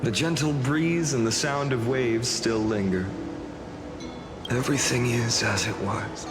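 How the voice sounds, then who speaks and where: A man playing a solemn, resolute young warrior hero in a video game, speaking earnestly and wistfully in measured phrases with a breathy, gravelly edge. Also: medium pitch, lightly acted. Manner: measured, earnest, heavy with pauses. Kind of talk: dramatic video game voice acting. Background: music behind the voice.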